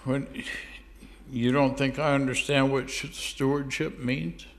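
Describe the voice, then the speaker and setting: A man's voice: mid-range voice, level tone, a little slow, natural voice. A man playing a sheepish churchgoer who stammers out excuses to God on the phone. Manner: stammering, hesitant, with many restarts. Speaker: church speaker. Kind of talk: comic phone-call monologue.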